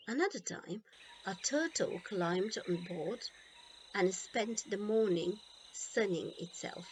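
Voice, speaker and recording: A woman reading a children's storybook aloud in an expressive, deliberate way, leaving pauses between phrases. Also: medium pitch, slight background noise, rough recording. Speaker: storybook reader.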